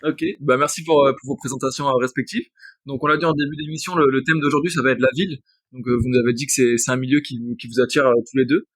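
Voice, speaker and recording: A man's voice: mid-range voice, steady tone, fast talker, fairly bright tone, no room sound. A man hosting a podcast, asking his guests questions in a hesitant way with many fillers. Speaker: podcast host.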